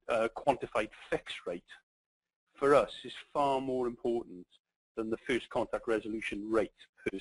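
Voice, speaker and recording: A middle-aged man with a Welsh accent presenting a business webinar, pausing often with ums and restarting his sentences. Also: mid-range voice, a bit bright, no room sound, low-quality recording. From Wales. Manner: halting, many ums and restarts.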